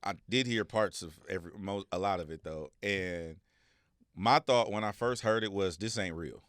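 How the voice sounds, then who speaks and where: Male American co-host, fairly low voice, casual and reflective, hedges as he talks, dry studio-tight sound. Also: normal speed, a bit bright, dry recording. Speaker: talk show co-host. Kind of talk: casual conversational commentary.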